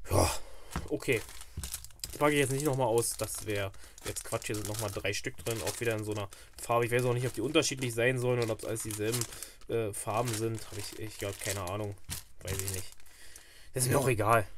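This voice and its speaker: A man in a middle register chats his way through an unboxing, rambling and thinking aloud. He is recorded close at a table indoors, with a faint hiss behind his voice. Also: medium pitch. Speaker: fishing channel host. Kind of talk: casual unboxing vlog.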